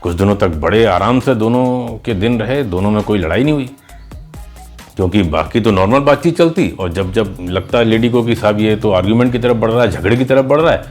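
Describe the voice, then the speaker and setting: A man with a fairly low voice tells a moral tale the way a teacher would. He explains conversationally and drops in English words. Faint music plays underneath. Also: a little fast, a little mellow. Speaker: teacher and storyteller. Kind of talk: conversational moral storytelling with advice.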